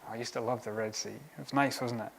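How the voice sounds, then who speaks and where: A grumbling ancient Israelite man, as a preacher puts him on in a sermon, chatting wistfully and gossipily about the good old days. His voice leaps between high and low, with some lines dropping to a low, quiet mumble. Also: steady tone, quick pace, creaky, rough recording. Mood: mock nostalgic.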